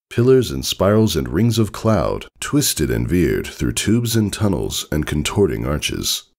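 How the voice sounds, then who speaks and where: A man reading fiction aloud as an expressive scripted story narration. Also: rumbling low, gravelly. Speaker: fan fiction reader.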